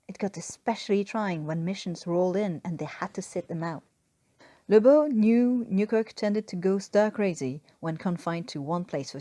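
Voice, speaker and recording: Mellow-voiced woman reading a story. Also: fairly low pitch, no room sound. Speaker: podfic reader and story author. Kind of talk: scripted fan fiction narration.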